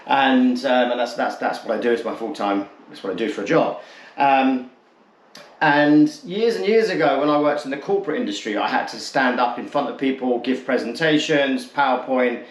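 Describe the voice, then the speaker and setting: A man in his late forties speaks casually to his viewers in a medium-pitched voice. He is candid and loose, often circling back to reword what he has said. The recording has a clear room echo. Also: normal speed. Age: late 40s. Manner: candid, rambling, repeats and rephrases himself. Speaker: vlogger introducing his channel. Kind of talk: casual talk to camera. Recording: echoey untreated room.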